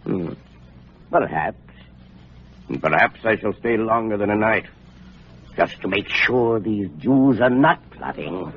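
Casting: brooding, menacing tyrant king; husky, swinging delivery, theatrical radio-play style. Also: fairly low pitch, lively intonation, slow pace, long pauses, full character voice. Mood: suspicious, scheming. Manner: grandiose, paranoid, theatrical. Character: suspicious, treacherous Judean king, brooding and menacing. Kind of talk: old-time radio drama acting. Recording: muffled old radio recording, music behind.

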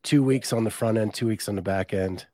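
A man hosting a podcast, asking casual interview questions in a relaxed, conversational way. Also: on the low side, a little fast, no room sound, clean studio sound. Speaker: podcast host.

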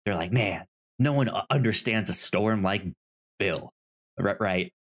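Slow, halting man talking casually. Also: lowish voice. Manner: halting, stammering with repeated word starts. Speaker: podcast co-host. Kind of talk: casual film discussion.

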